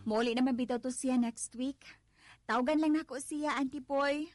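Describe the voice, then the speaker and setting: A woman with a Cebuano accent playing a sociable, trusting condo tenant in a radio drama, with drawn-out, lilting exclamations. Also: sits high, fairly even intonation, a little mellow, no room sound, natural voice. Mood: casual, surprised. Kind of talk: Filipino radio drama acting.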